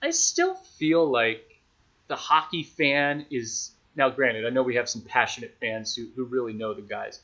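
Male sports announcer as podcast guest, mid-pitched, conversational and considered, slightly muffled lo-fi sound. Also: pushed and bright. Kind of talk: casual conversational interview answers.

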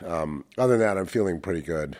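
A man's voice: low-pitched, expressive, fast, a little mellow. An older man with a head cold talks in a rambling, reflective way and often stops to correct himself. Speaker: channel host. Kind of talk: casual spiritual monologue.

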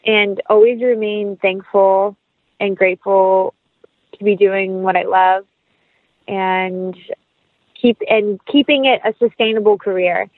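A woman's voice: mid-range voice, steady tone, slow, dry recording. A woman speaking casually as an interview guest, earnest and upbeat, over a tinny phone-like connection. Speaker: interview guest, actress and producer.

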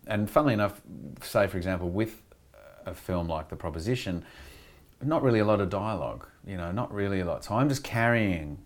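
A deep-voiced middle-aged man with an Australian accent talks through his working habits in a relaxed, reflective way. He rambles, restarts sentences and leans on "you know", breaking off with quick laughs now and then. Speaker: actor being interviewed. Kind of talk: reflective conversational interview.

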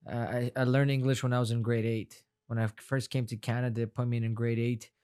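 A man's voice: medium pitch, little pitch movement, a little fast, darkish tone, clean tone. A young man from Afghanistan, a non-native English speaker whose first language is Farsi, talking casually as an interview guest, rambling and repeating words in a heartfelt way. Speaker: guest fighter.

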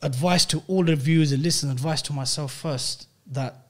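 A man's voice: a little high, a little fast. Slangy London man hosting a podcast. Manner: slangy, exhortative, repeats phrases for emphasis. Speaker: podcast host. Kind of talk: casual podcast chat.

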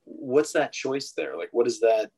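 A man's voice: medium pitch, expressive, unhurried, darkish tone, slightly rough. A man in casual conversation about a classic film, thinking aloud and often restarting his sentences. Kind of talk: casual movie discussion.

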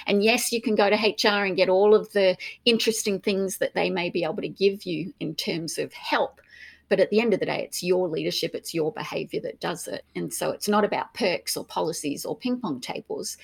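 Australian woman, middle-aged, guest expert; husky, slightly low, explanatory. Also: no room sound. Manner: explanatory, frequent restarts. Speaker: author and engagement expert, guest. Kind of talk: podcast interview answer.

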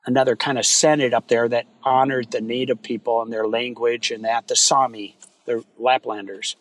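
Relaxed man recalling his family. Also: mid-range voice, no echo, low-quality recording. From Minnesota, US. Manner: relaxed, rambling with many fillers. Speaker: guest, wildfire fighter. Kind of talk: casual interview chat.

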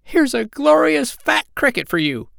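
A man's voice: very animated, unhurried, creaky, fairly bright tone, no echo, studio quality, exaggerated voice. A man voicing a hungry, cheeky young sandpiper chick in a childlike, exclamatory storybook voice. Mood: eager. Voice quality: high, squeaky put-on voice. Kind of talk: storybook character voice.

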